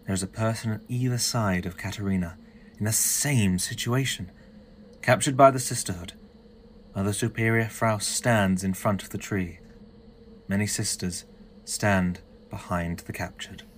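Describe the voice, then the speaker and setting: A man's voice: fairly low pitch, fairly even intonation, moderate pace, a little hiss or hum, close to an everyday voice. A man reading scripted narration as the narrator of an audio drama.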